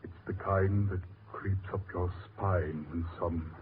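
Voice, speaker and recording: Through a muffled, very noisy old radio recording comes a brooding gentleman with a low voice. He speaks slowly and formally, hesitating as he senses evil in a haunted forest. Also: fairly even intonation. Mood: uneasy. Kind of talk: 1940s radio horror acting.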